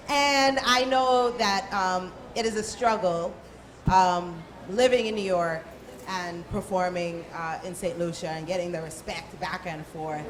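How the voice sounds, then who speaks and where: A woman's voice: lowish voice, steady tone, slow. A woman moderating a panel discussion in a casual, joking style. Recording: live event, clear background noise.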